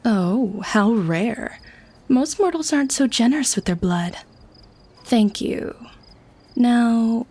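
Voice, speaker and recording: A woman's voice: on the high side, animated, slow, pauses often, darkish tone. A woman playing a sly, teasing, predatory female vampire, speaking with genteel mock sweetness in an audio roleplay. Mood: hungry, teasing. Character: sly, teasing, predatory lady vampire feigning harmless friendliness. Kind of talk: scripted ASMR audio roleplay.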